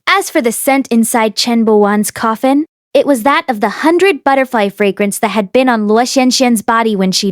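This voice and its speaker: A woman reading a story aloud as an audiobook narrator, in an expressive scripted style. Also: on the high side, no room sound, studio quality. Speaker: audiobook reader and host.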